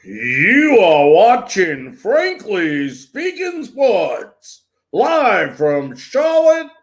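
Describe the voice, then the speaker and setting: A man's voice: high voice, wildly swooping pitch, very slow. A man hosting a live sports talk show, giving updates and responding to viewer comments.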